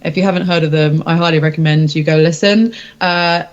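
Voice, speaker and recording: A woman's voice: sits low, steady tone, brisk, highs cut off. A woman, a singer-songwriter, chatting casually and informally in an interview in a rambling way.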